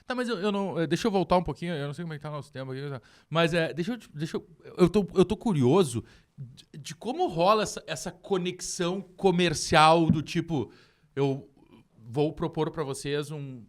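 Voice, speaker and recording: A man, hosting a podcast and asking curious questions in a relaxed way. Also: on the high side, brisk. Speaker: podcast host. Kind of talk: casual interview chat.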